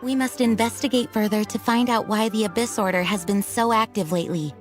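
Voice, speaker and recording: A woman voicing a dutiful, kindly knight commander in a game, speaking in a formal, measured, articulate way. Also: mid-range voice, steady tone, lightly acted. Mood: kindly, cautioning. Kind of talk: game dub acting. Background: music.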